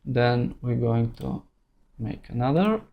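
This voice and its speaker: Slow, halting male tutorial instructor. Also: medium pitch, expressive, creaky, lo-fi sound. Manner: halting, thinking aloud in short phrases. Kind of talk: unscripted screen-recorded tutorial narration.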